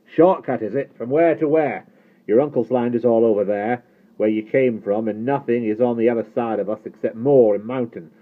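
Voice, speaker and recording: Through dull, narrow audio comes a man acting out a pudgy Welsh farmer from a storybook. He is pompous, suspicious and quick to anger. His scornful words bark out well above a low narrator's register. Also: natural voice. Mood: suspicious, hostile. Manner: scornful, barking, inverted sentence order.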